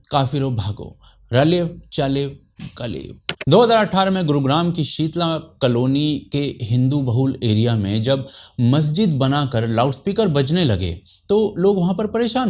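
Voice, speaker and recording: A man's voice: mid-range voice, a little mellow. A man presenting a scripted explainer narration.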